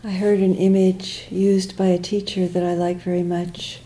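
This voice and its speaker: A woman leading a guided meditation as a teacher, speaking gently in short, unhurried phrases with long pauses and quiet, trailing endings. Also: on the low side, little pitch movement, rough recording.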